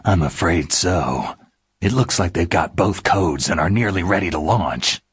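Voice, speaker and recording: Gruff video game commando, heavy rasp, swooping intonation, world-weary, cool-headed. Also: male voice, animated, a little slow, no room sound, studio quality, exaggerated voice. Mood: grim, matter-of-fact. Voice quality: raspy, gravelly low voice. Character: gruff, world-weary male special-forces soldier, cool under pressure. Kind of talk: dramatic video game voice acting.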